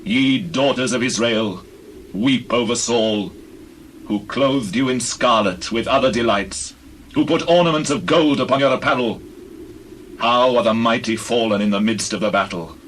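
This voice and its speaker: An actor playing a solemn warrior leader of ancient Israel in slow, measured declamation, in a scripture audio drama on old cassette. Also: male voice, lowish voice, fairly bright tone. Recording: noisy old cassette tape recording.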